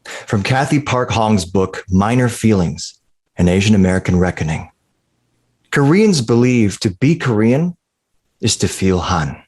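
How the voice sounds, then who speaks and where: Guy narrating a screenplay, lowish rough husky voice. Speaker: stage-direction reader. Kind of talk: scripted narration.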